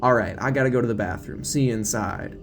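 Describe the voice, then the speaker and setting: A man's voice: mid-range voice, fast talker, lightly acted. A man voicing a teasing young boyfriend in an audio drama, casual and coaxing, with music behind him. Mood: coaxing, playful. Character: laughing, teasing young boyfriend, a prankster moviegoer. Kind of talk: audio drama character reading.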